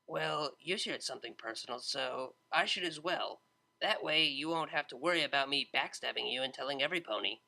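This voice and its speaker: A man's voice: medium pitch, a little fast, vocal fry, bright, forceful tone, rough recording. A man voicing a laid-back young female unicorn DJ, talking in a casual, slangy, self-mocking way. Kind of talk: fan audiobook character voice.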